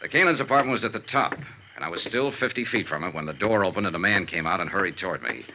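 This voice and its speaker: Confident male private investigator, American, low voice, lively hard-boiled delivery, wry, 1950s radio drama, hissy old recording. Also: quick pace, heavily acted. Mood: wry narration. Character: sharp, confident male insurance investigator narrating his case. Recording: dull vintage radio recording with hiss.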